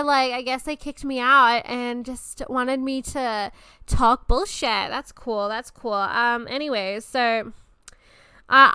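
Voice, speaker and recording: A woman's voice: on the high side, bright, forceful tone, smooth, no room sound. A woman in her twenties hosting a podcast, talking in a casual, rambling and gushing way, swearing often. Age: 20s. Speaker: podcast host. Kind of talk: casual monologue.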